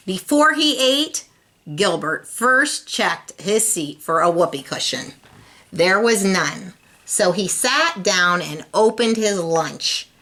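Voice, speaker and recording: A woman's voice: medium pitch, expressive, slow, sharp tone. A woman reading a children's storybook aloud, expressively and speaking directly to young listeners. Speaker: storybook reader.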